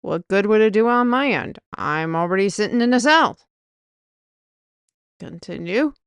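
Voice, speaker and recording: A woman's voice: mid-range voice, expressive, unhurried, clear, resonant tone, dry recording, studio quality. A woman acting a bossy, scheming sorceress mistress in an audio roleplay, with a theatrical, commanding delivery. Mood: indignant.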